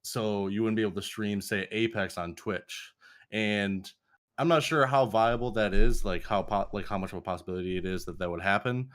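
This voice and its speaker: A man's voice: fairly low pitch, moderate pace, a bit bright. A man co-hosting a podcast, raising a topic in a thoughtful, somewhat hesitant way. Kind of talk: casual podcast chat.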